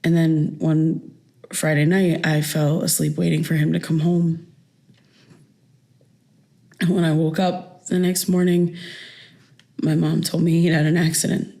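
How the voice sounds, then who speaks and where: A daughter in her thirties with a somewhat low voice. She talks informally and haltingly, with a raw, insistent edge. The delivery is naturalistic audio drama. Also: flat delivery, creaky, warm tone. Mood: confiding, subdued. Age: 30s. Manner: conversational, hesitant, informal. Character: wounded thirty-three-year-old daughter, insistent and raw.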